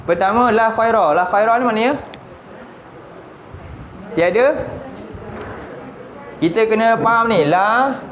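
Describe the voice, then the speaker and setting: A man's voice: sits high, slow pace, long pauses, a bit bright. A Malaysian man in his forties teaching a Quran lesson, constantly asking his listeners rhetorical questions. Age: 40s. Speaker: religious teacher. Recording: roomy with faint background noise.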